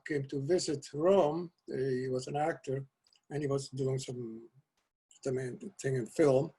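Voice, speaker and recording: An older man, a Dutch native speaker from South America, talking as a guest poet over a video call from a cluttered home office, soft-spoken and hesitant with restarts. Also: fairly high pitch. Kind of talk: casual video call interview. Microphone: video call webcam.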